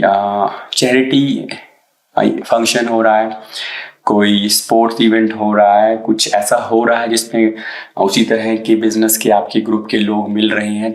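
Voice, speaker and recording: A man with a sore throat explaining an SEO tutorial in a casual, hesitant way, mixing in English terms. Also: on the low side. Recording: echoey room.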